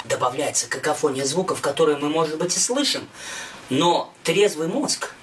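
A man's voice: fairly high pitch, expressive, normal speed, very noisy. A middle-aged man narrating his own scripted story in a dramatic, emphatic, theatrical way. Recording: music behind a slightly distant voice.

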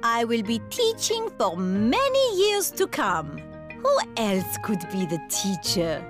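A woman's voice: on the high side, wildly swooping pitch, slow, loud music behind the voice, exaggerated voice. A woman voicing a kindly gazelle teacher in a children's cartoon. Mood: reassuring. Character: Madame Gazelle, kindly female gazelle playgroup teacher.

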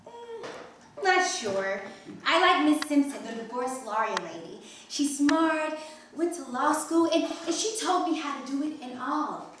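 A woman's voice: high voice, lively intonation, a little slow. A woman reading the part of an eager, chatty, artless twelve-year-old Trinidadian girl in a staged play reading. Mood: boastful. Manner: artless, boastful, giggly. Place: wood-paneled mansion room. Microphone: distant camera microphone. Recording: very echoey room, distant mic, faint noise.

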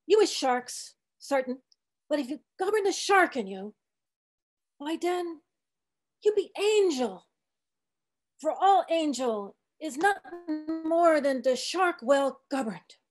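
A grumbling old ship's cook mumbles a sermon with sullen weariness, as a woman reading aloud puts him on. The delivery is slow and high-pitched for a man.

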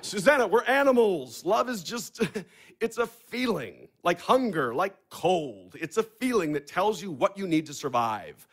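A man's voice: sits high, big pitch swings, measured pace, a bit bright, heavily acted. An actor playing a blunt, cynical young man who lectures in a brusque, sardonic way in a naturalistic audio play. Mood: dry, amused. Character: blunt, cynical, caustic young man, pragmatic family fixer. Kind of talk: naturalistic audio theater acting.

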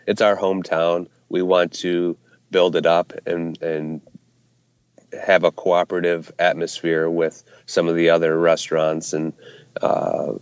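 Low-voiced man, flat and smooth, halting with fillers, dry and slightly dull sound. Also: no echo. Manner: hesitant, many fillers and restarts. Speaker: brewery co-founder, guest. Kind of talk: casual interview answers. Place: Ohio hometown brewery.

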